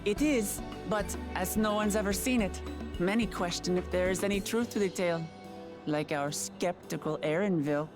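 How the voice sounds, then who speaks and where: A woman acts a proud young heroine of a fantasy game. Her voice sits at an ordinary female pitch, earnest and firm, with loud music underneath. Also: normal speed, natural voice. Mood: light, friendly. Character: earnest, proud young female claimant to a throne, feline warrior. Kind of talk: video game voice acting.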